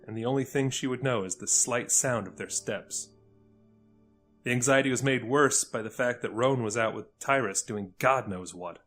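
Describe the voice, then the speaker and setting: A man's voice: mid-range voice, a bit bright. A man narrating a scripted dramatic story as the narrator. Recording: music under the voice.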